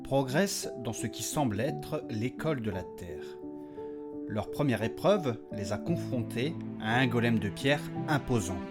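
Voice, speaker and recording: A man narrates a fantasy story recap in a dramatic, scripted style. His mid-range voice moves expressively up and down, with plucked guitar music playing clearly behind him. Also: medium pitch, expressive, measured pace.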